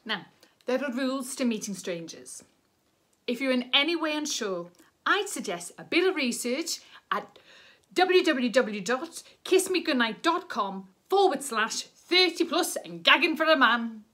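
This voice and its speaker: A woman's voice: sits high, animated, unhurried, bright, forceful tone, exaggerated voice. A woman performing a comic monologue as a fussy, dry-humoured online dater telling of her romance. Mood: wry. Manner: wry, pedantic, matter-of-fact.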